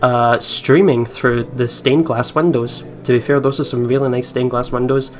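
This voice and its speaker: A man's voice: mid-range voice, fairly even intonation, normal speed, slight background noise. A man hosting a let's play, reading a visual novel aloud and dropping in informal, sweary reactions. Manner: informal, giggly, sweary asides. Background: game's guitar music.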